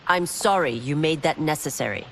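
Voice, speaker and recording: A woman's voice: low voice, moderate pace. An actress playing a stern, honourable warrior woman in a video game, solemn, resolute and formal, with dramatic delivery. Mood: urgent. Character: stern, honourable woman warrior, former royal guard turned constable. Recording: music behind.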